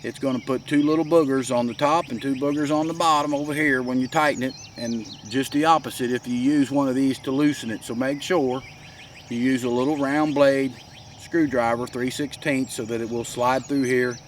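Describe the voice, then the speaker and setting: A man with a head cold, hoarse and congested, giving a folksy step-by-step workshop demonstration. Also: mid-range voice, fairly even intonation, moderate pace, distant mic, a little hiss or hum. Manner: folksy, plain-spoken, explains step by step. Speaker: gunsmith demonstrating a product.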